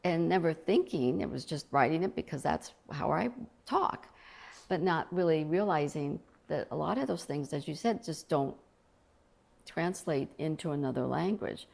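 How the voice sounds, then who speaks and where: A woman's voice: sits low, normal speed, vocal fry, darkish tone. A middle-aged woman, an author from Colombia, talking in an earnest, reflective way about faith in an interview.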